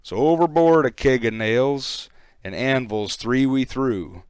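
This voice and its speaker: Male poetry reader: dramatic, measured delivery, smooth clear voice, unhurried, close dry sound. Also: medium pitch, darkish tone, no echo. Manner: theatrical, measured. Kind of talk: dramatic poem recitation.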